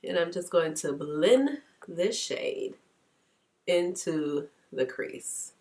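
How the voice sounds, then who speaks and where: A woman's voice: on the low side, animated, very slow, darkish tone. A congested woman with a stuffy nose, chatting casually through a makeup tutorial and laughing often. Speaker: beauty vlogger.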